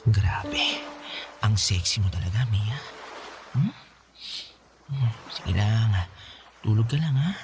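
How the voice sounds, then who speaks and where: An actor playing a lecherous, sly live-in boyfriend with a leering way of talking in a radio drama. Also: male voice, on the low side, expressive, over music. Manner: leering, drawn-out murmurs, whiny when denying. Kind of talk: Filipino radio drama acting.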